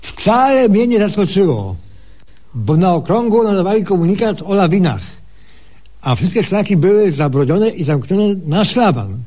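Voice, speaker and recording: A man's voice: high voice, sing-song, mellow. An actor playing a cocky, simple-minded male patient in a humorous radio sketch, with folksy, ungrammatical speech. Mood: boastful. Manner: folksy, ungrammatical, drawn-out exclamations.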